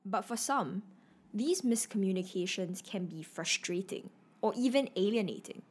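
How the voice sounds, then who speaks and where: A woman's voice: mid-range voice, moderate pace, slightly rough. A woman narrator reading a scripted introduction in a documentary style.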